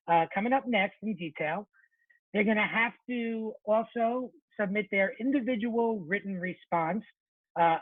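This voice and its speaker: A man presenting an informal webinar for teachers, chatty and relaxed, often correcting himself mid-sentence. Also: high voice, no room sound. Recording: thin phone-like webinar audio, dry.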